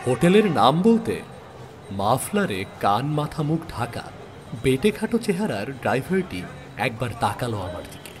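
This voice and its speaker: A man narrating a suspense story in the first person, with measured, dramatic delivery and deliberate pauses. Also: mid-range voice, a little hiss or hum. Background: background music.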